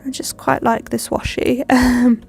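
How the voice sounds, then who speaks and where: A woman's voice: on the high side, little pitch movement, measured pace, vocal fry, soft background music. A young woman with a stuffy cold, chatting and rambling with lots of ums as she decorates her planner. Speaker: planner vlogger. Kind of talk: chatty plan-with-me narration.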